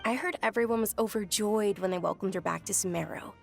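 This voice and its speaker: A woman playing a sincere, devout young noblewoman in a video game, speaking articulately and softly. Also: mid-range voice, fast, creaky, soft background music, lightly acted. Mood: earnest explaining. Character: earnest, devout young noblewoman recounting her childhood. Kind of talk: game dialogue and reflective narration.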